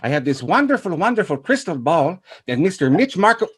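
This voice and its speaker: A man's voice: on the high side, sing-song, fairly quick, breathy edge, voice pushed up. A man voicing a cheery, flowery fortune-telling oracle, effusive and theatrical, repeating words.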